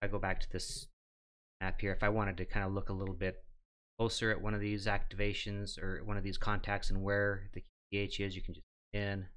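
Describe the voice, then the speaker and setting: A middle-aged man hosting a how-to show, walking through steps in a plain, instructional and somewhat halting way. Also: lowish voice, monotone, a bit bright. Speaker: host of a ham radio how-to show. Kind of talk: step-by-step tutorial narration.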